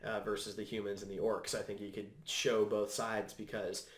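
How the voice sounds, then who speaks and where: A man's voice: fairly low pitch, level tone, normal speed. A man hosting a podcast, speaking in a casual, conversational way. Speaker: podcast host. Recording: roomy, echoing room sound.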